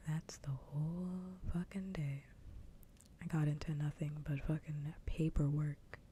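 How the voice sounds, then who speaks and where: A woman hosting an ASMR livestream, chatting casually in a soft, breathy whisper close to the mic, with unhurried, sweary rambling. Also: sits low, steady tone, slight background noise. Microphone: close ASMR microphone.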